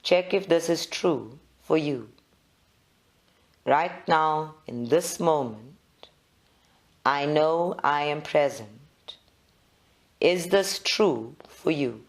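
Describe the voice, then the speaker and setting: A meditation leader, giving measured guided instructions in short phrases with long pauses. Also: mid-range voice, gravelly.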